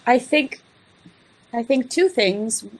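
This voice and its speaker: A woman on a video call, thinking aloud hesitantly about her business plans with many pauses and restarts. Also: on the high side, lively intonation. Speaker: guest being coached.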